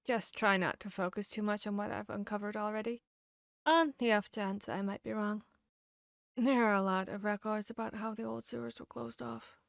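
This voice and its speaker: Female vampire hunter, played by a woman for ASMR audio drama: confiding, bookish companion, mid-range voice, intimate pauses, dry close mic. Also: medium pitch, vocal fry, clean tone, no room sound, natural voice. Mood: casual, teasing.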